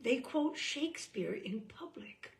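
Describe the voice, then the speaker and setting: A woman reading a humorous book aloud in a theatrical, deadpan way, with dramatic emphasis and pauses. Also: a little high, vocal fry. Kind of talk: theatrical read-aloud of dry comic prose.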